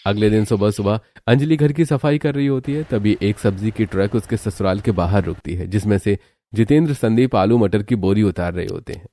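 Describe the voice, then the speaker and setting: A man's voice: fairly low pitch, fairly quick, dark tone. A man narrating a scripted family cartoon story. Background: background music.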